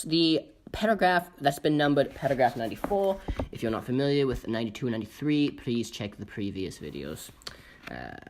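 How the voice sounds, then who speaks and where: Woman playing a deep-voiced, exasperated British gent, mock-pompous, swooping all over. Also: a little hiss or hum. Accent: put-on British, drifting Irish or Scottish. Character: bumbling pompous British man reading aloud. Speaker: comedic reader. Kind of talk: comic reading of a book in a funny voice.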